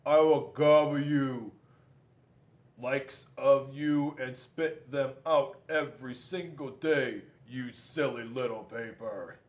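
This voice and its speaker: A man voicing a big, scornful, menacing square monster in a storybook, making slow threats. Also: mid-range voice, darkish tone, lightly acted. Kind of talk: storybook read-aloud. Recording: echoey room.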